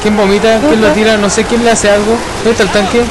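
An excitable, sweary Chilean man chatting with friends as he plays a video game. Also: high-pitched, fast. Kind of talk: excited casual gaming chat with friends. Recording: voice chat over loud game gunfire. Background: video game gunfire and noise.

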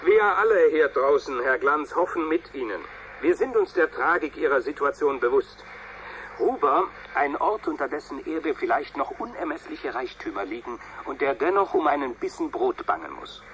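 An actor playing a solemn radio news reporter in a radio play, formal and dramatic in delivery. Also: male voice, on the high side, expressive, normal speed, fairly bright tone, low-quality recording. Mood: grave, sympathetic. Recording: faint noise, radio broadcast sound.

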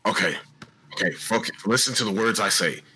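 Man playing a low, animated robot bear guide. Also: fairly quick, husky, exaggerated voice. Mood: coaching. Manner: urgent, repetitive coaching with sudden yelps. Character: protective robot bear companion giving urgent guidance. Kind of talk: improvised comedic fandub.

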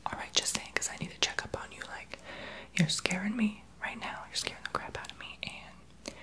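A man's voice: high voice, normal speed, lightly acted. A young man voicing a sassy, unqualified therapist in a whispered ASMR roleplay. He whispers blunt, sarcastic remarks close to the mic in a small home office. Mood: condescending. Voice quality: soft unvoiced whisper. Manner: blunt, sarcastic, gossipy sass. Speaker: ASMR creator.

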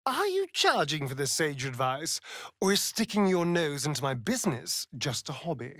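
An actor voicing a suave, vain, sardonic vampire rogue in a video game, with a British accent and an arch, theatrical drawl. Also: male voice, fairly high pitch, big pitch swings, normal speed, a bit bright, no room sound, clean studio sound, full character voice. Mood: waspish. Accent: British English. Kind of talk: video game character voice acting.

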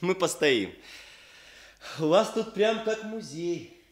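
A man's voice: high-pitched, big pitch swings, slow, full character voice. An actor playing a polite, bashful young man in a Soviet radio play, speaking hesitantly. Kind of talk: Soviet radio theatre acting.

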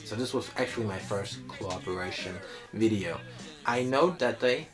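A young man chatting casually to camera, sometimes halting as he searches for words. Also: lowish voice, over music. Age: young adult. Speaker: vlogger. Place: small indoor room.

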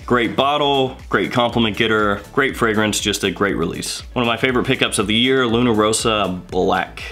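A man in his thirties with a head cold, chatting through a casual video review and rattling off quick lists. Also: lowish voice, sharp tone, soft background music. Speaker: fragrance reviewer. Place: indoor room with shelves of bottles.

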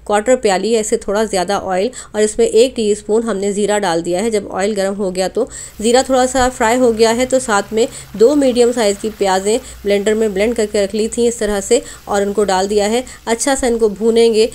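A woman's voice: mid-range voice, steady tone, a little fast, a little mellow. A woman hosting a cooking channel, narrating a recipe step by step in an instructional voice-over addressed straight to viewers.

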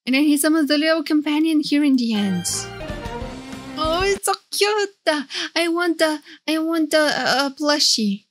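A woman doing excited live reaction commentary as a streamer, gushing and flustered, often repeating herself. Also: high voice, measured pace. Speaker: VTuber streamer reacting to game trailers. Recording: game trailer music behind voice.

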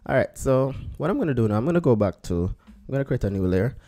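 A man, a photographer, talking through a photo edit step by step in a relaxed screen-recorded tutorial, thinking aloud as he works. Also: mid-range voice, fast talker. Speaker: photographer teaching photo editing.

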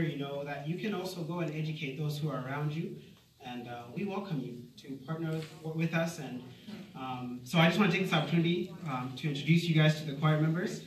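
A man who leads a children's choir introduces them to an audience in a friendly way. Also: on the high side. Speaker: choir leader. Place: large community hall stage. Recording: echoey hall from far off.

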